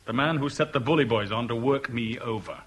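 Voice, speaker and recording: A man's voice: medium pitch, a little fast, husky, close to an everyday voice. An actor playing a blunt, hot-blooded man in a radio drama, with a terse and insistent way of talking. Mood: indignant, demanding.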